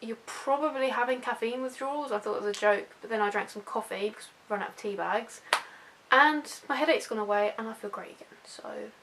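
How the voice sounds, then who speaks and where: Bright-voiced young British woman chatting. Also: a little high, brisk, slight background noise, rough recording. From United Kingdom. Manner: informal, rambling, self-deprecating. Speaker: YouTube vlogger. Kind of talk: casual personal chat to camera.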